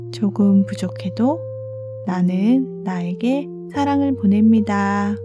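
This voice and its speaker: A woman who is a children's ministry pastor, gently narrating a bedtime segment in a soft, encouraging way. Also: on the high side. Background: soft guitar music.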